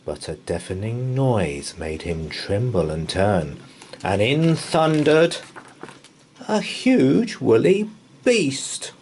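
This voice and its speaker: Slow, animated man reading a bedtime story. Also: medium pitch, a little hiss or hum. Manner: dramatic, rhythmic verse delivery. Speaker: storybook reader. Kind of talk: theatrical bedtime story read-aloud.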